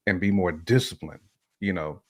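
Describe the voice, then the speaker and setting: A man with a low voice talks as a co-host in a casual, opinionated style. The sound is dry and close. He uses colloquial phrasing and repeats himself to drive a point home. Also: normal speed, a little mellow, no room sound. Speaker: talk show co-host.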